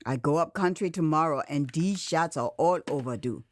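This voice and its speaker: Audio drama nurse: Liberian woman, brisk, unyielding, bossy, in dialect, played by a female narrator. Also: sits low, no echo, voice pushed down, close to an everyday voice. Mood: firm, scolding. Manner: firm, bossy, dialect phrasing.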